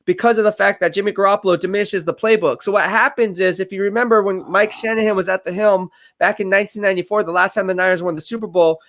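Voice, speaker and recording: A man with a high, bright-sounding voice runs a football live stream. He talks quickly and energetically, piling up fillers and repeated phrases as he breaks down plays. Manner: energetic, fast-talking, full of fillers and repeats. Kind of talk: casual sports analysis livestream.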